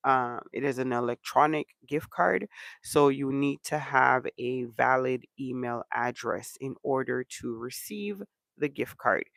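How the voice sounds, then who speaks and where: A man with a stuffy, congested voice hosts a casual livestream chat from home, rambling loosely and filling his pauses with ums. His voice has a gravelly, fry-heavy edge. The sound is dry and close to the mic. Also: mid-range voice, dry recording. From Canada. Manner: relaxed rambling with many ums. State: congested from allergies. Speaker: channel host.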